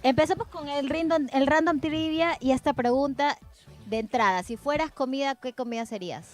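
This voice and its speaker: A woman's voice: high voice, moderate pace, soft background music. A woman hosting a casual, conversational chat show. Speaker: co-host.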